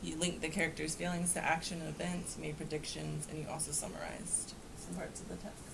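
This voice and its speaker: Low, creaky young woman's voice. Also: flat delivery, brisk. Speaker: trainee tutor giving peer feedback. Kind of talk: reading checklist items with casual comments. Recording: noisy room recording.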